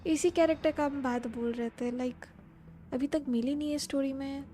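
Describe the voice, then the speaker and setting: A woman's voice: sits high, steady tone, rapid-fire, warm tone, slight background noise. A woman with an Indian accent doing casual live game commentary, reading dialogue aloud and switching between Hindi and English. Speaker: game streamer. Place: voice over game audio on a live stream. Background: faint game music.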